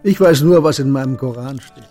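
An older man playing a kindly, wry old shopkeeper in a radio play, fatherly, gently teasing and deliberate. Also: mid-range voice, faint music behind, natural voice. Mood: wry, gentle.

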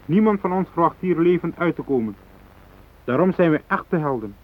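An actor in a radio drama playing a fanatical, unyielding hijacker who speaks in cold, threatening declarations. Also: male voice, fairly high pitch, expressive, normal speed, natural voice. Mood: grim conviction. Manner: declarative, threatening, ideological speechmaking. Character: fanatical South Moluccan hijacker, unyielding, cold, righteous militant. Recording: thin phone-like radio drama recording.

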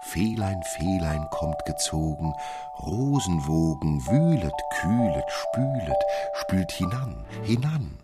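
A man voicing tiny enchanted water spirits, chanting drawn-out rhythmic verse in a storybook reading. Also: low-pitched, lively intonation, over loud music. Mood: lilting, enchanted. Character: tiny singing fairy-tale water voices, lilting and enchanted.

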